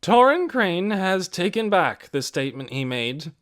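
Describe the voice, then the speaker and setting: Male narrator doing a female civic leader: firm, measured, businesslike, lifted and lively in pitch. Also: exaggerated voice. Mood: solemn. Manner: measured, solemn public speaking. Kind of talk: audiobook character voice.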